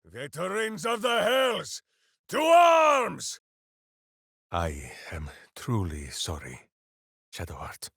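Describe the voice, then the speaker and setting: An actor playing a big, hearty, simple-hearted heroic warrior in a fantasy video game, earnest and grandiose in a theatrical way. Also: male voice, high voice, wildly swooping pitch, slow pace, gravelly, long pauses, a bit bright, slightly rough, no room sound, clean studio sound, heavily acted. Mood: rousing, then sorrowful. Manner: boisterous, earnest, grandiose, speaks of himself in third person.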